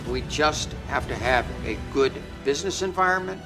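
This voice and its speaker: A man's voice: a little high, lively intonation, normal speed. A man giving an emphatic campaign ad speech, repeating short slogans. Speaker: political candidate in an ad. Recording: campaign ad over background music. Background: music.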